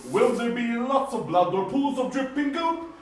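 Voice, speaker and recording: Man voicing a brash high-pitched puppet hero, echoey. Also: animated, moderate pace. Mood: gleeful. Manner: melodramatic, exclamatory. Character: brash, eager hero puppet patient with thalassemia. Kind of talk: live children's puppet show acting. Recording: roomy, distant live show, faint noise.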